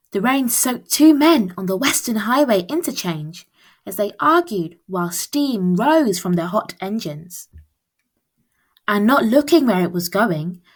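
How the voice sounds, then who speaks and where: A woman reading a children's picture book aloud as storyteller, with expressive, deliberate phrasing. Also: medium pitch.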